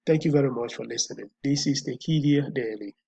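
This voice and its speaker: A man's voice: medium pitch, fairly quick, gravelly, mellow, husky, muffled recording. A man with a Nigerian accent hosting a solo commentary show, thinking aloud with frequent repeats and restarts. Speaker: host.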